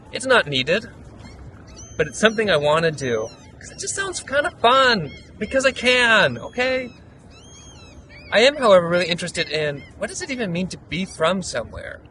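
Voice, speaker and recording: A playful, upbeat man from the United States talks straight to camera, his voice swinging up and down a lot and sitting a little high for a man. There is a light hiss of noise behind him. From United States (Utah). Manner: lively, playful, upbeat storytelling. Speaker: vlogger. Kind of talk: casual vlog narration.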